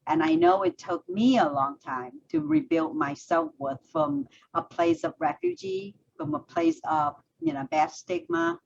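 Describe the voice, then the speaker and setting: A woman's voice: sits high, very animated, measured pace, vocal fry, hardly any pauses. A woman from Vietnam, hosting a conversational interview over a video call, speaking thoughtfully with frequent fillers. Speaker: host. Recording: dull lo-fi audio with faint noise.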